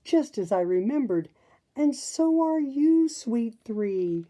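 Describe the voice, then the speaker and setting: A woman's voice: fairly high pitch, very animated, takes it slowly, warm tone, pitched above the performer's own voice. A woman voicing a happy, loving young princess in a storybook, sweet and delighted. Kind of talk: storybook character voice.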